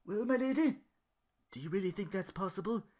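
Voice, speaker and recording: A podcaster as an eager, high-voiced young sidekick man. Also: brisk, natural voice. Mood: eager, hopeful. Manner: deferential, excitable, wide-eyed. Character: earnest, whiny, devoted young man sidekick, naive and eager.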